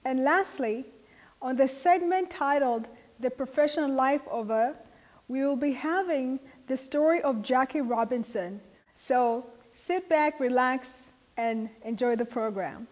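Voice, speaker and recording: A woman hosting a show, giving a measured, welcoming scripted introduction to the program. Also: sits high, a little mellow, in a large hall, rough recording. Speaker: host.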